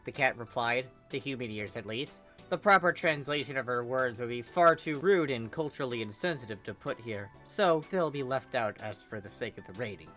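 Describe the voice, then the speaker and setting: Dramatic story reader, emphatic, sharp forceful tone, medium pitch, scripted comic narration, music behind. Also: expressive, a little fast, hardly any pauses. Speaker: narrator, fan fiction reader.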